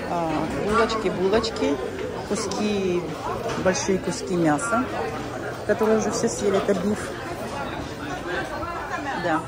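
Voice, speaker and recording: A woman's voice: mid-range voice, measured pace, long pauses. A woman vlogging a walk through a ship's buffet, chatting casually and thinking aloud as she films on a phone. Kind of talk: casual walking tour narration. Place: cruise ship buffet restaurant, indoors. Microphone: handheld phone camera. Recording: loud crowd noise around.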